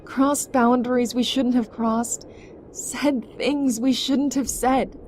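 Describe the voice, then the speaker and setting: A woman's voice: high voice, steady tone, slow, darkish tone, slight background noise. A woman playing a fierce, stubborn yet tender young survivor in an emotional audio drama, speaking with earnest, emphatic pleading. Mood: earnest reassurance. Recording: dramatic music behind the voice.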